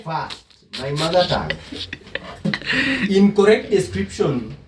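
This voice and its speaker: A man's voice: high-pitched, lively intonation, slow pace, distant mic. A Kenyan man co-hosting a podcast, laughing and explaining his points in casual banter. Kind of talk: casual banter switching between Swahili and English. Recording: echoey room, away from the mic.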